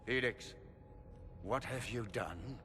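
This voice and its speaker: A man's voice: mid-range voice, takes it slowly. An actor playing an arrogant, zealous middle-aged mage lord and father in a video game, with grand theatrical delivery. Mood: cool, haughty. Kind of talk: video game cutscene acting. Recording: reverberant hall with loud music behind.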